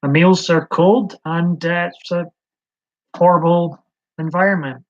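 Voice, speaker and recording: A man's voice: high voice, fairly even intonation, measured pace. A man from Scotland hosting a live stream, chatting in a rambling, hesitant way with many ums. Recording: slightly dull lo-fi stream audio.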